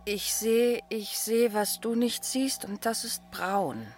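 A woman's voice: on the high side, slow pace, darkish tone, faint music behind. A woman playing a timid, easily frightened young girl in a radio drama, hesitant and childlike in her protests. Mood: curious, uneasy.

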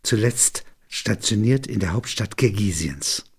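A man's voice: lowish voice, animated, a little slow, slightly rough. A man narrating in a literary register, as a reader and commentator.

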